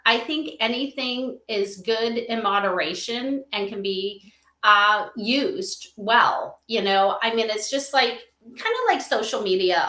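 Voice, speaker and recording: A woman's voice: medium pitch, bright, forceful tone. A woman guest chatting casually and enthusiastically in an interview, with a loose conversational flow, recorded in a roomy livestream setup. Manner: enthusiastic, conversational, peppered with "you know". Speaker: interview guest, company advisor. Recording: roomy, echoey livestream audio.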